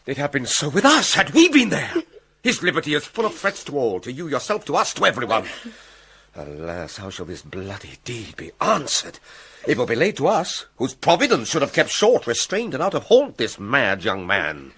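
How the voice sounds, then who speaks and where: A man's voice: medium pitch, wildly swooping pitch, moderate pace, fairly bright tone, breathy edge, full character voice. An actor playing a troubled, calculating king with a British accent, grave and urgent in classical radio drama style. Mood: alarmed.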